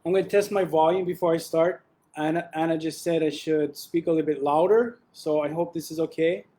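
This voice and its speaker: A young man presenting an academic webinar over a video call, talking in a relaxed way with frequent ums and restarts. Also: on the high side, fairly quick.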